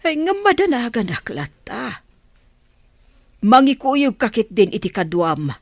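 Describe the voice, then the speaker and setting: Woman playing a worried wife, very expressive. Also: a little high, measured pace, no room sound, studio quality, exaggerated voice. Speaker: actress in a radio drama. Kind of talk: Ilocano radio drama acting.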